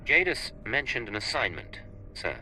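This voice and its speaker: A man's voice: mid-range voice, very animated, moderate pace, bright, forceful tone, slight background noise, low-quality recording. An actor playing a terse, self-assured male secret agent in a video game, clipped, cool and formal. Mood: firm, questioning. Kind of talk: scripted game cutscene dialogue.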